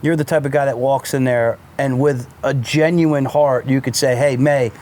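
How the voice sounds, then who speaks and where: A man's voice: mid-range voice, fairly even intonation, moderate pace, a little mellow, a little hiss or hum. Chatty male interview host. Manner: conversational, agreeing often, asking long questions. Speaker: podcast host. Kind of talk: casual fireside interview. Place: outdoors by a fire pit.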